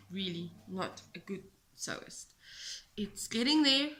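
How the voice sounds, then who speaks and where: A woman's voice: medium pitch, pushed and bright. A South African woman whose first language is Afrikaans, hosting a knitting podcast in a rambling, self-deprecating chat from home. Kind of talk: casual chat to camera. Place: home, indoors. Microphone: camera at a short distance.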